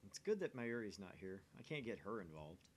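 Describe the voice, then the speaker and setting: A man reading a visual novel aloud for a let's play, in a dramatic, expressive way with casual asides. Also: fairly low pitch, brisk, slight background noise. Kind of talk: voice-acted read-through with casual asides.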